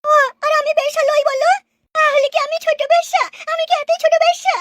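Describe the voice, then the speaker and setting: A woman's voice: very high voice, steady tone, fast talker, fairly bright tone. A woman voicing a vain, quarrelsome village wife in a comic cartoon, whiny and boastful. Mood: flattered, whiny.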